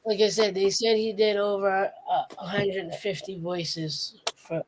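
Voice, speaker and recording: Slow-talking woman telling a story. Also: mid-range voice, little pitch movement, noisy background. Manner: rambling storyteller, trails off and restarts. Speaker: podcast co-host. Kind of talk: casual chat.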